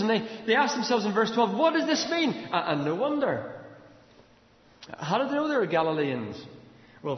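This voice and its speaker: High-pitched Irish preacher in an echoey hall. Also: male voice. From Ireland. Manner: dramatic storytelling with repeated words and rhetorical questions. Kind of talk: sermon, expository storytelling from a Bible passage. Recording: echoey large hall, slightly dull sound.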